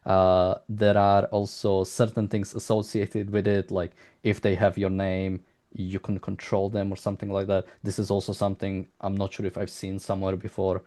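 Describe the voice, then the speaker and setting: A man conducting a casual interview, talking in a halting, rambling way and repeating words as he searches for phrasing. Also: sits low, monotone, dark tone.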